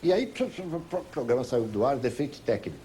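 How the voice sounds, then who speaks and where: An older man with a Brazilian accent, a veteran television interviewer, recounting a story in an emphatic, deliberate way. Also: mid-range voice, animated. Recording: faint noise, old TV broadcast tape.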